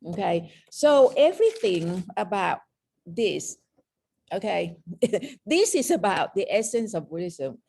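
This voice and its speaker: Woman teaching meditation, thai-british accent, bit of fry in the voice, keeps asking okay?. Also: mid-range voice, sing-song, takes it slowly, darkish tone. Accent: Thai and British mix. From Thailand. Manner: explaining with frequent "okay?" checks. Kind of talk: lecture on meditation.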